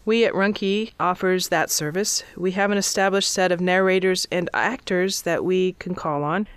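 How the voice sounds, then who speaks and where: Female interview guest, producer type. Smooth, clear voice, close dry sound, scripted-sounding explanations. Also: medium pitch, no echo. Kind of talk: prepared interview answers.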